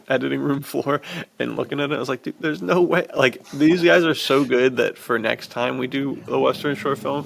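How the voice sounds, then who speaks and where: A man chatting casually and enthusiastically as a podcast host, in relaxed bro slang peppered with "dude". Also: mid-range voice, gravelly.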